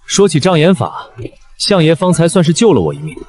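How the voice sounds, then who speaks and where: A man playing a cool, sharp-tongued chancellor who is secretly a rabbit spirit, in a period animated drama. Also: fairly high pitch, a little fast. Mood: sardonic. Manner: aloof, sardonic.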